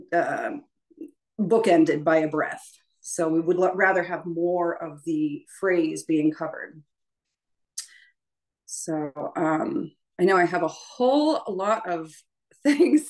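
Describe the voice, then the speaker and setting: A woman's voice: fairly low pitch, rough recording. A woman leading a choral workshop as a music teacher, explaining informally and conversationally, often checking in with her listeners.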